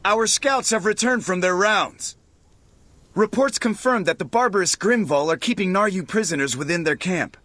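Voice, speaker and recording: A male actor playing a dutiful, eager military officer in a video game, reporting in a formal, crisp manner. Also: sits high, moderate pace, bright, forceful tone, no echo. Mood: dutiful, urgent.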